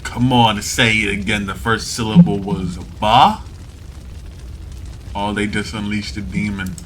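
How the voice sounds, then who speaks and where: A man reading a visual novel aloud, acting out dialogue in an animated way and adding asides. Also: fairly low pitch, measured pace, a little hiss or hum. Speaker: gamer playing a visual novel. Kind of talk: dramatic read-aloud of game text with asides. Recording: music behind the voice.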